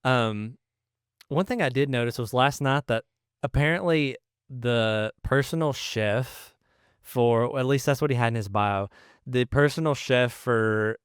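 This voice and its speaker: A man's voice: medium pitch, clean tone, no echo, clean studio sound. A man chatting casually as the co-host of a podcast. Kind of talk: casual chat.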